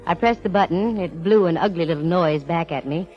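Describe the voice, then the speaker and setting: A woman's voice: lowish voice, a little fast, close to an everyday voice. An actress playing a wry, self-assured female private eye in a 1940s radio detective drama, with a cool, wisecracking, slangy delivery. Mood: wry narrating. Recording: muffled vintage 1940s radio recording.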